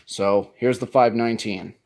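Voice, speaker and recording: A man's voice: on the low side, steady tone, moderate pace, background noise. A man presenting his hobby collection in a casual show-and-tell style, talking from home.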